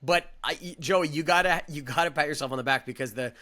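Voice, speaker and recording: A man co-hosting a casual sports podcast, enthusiastic and quick to laugh. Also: fairly high pitch, sharp tone. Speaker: podcast co-host.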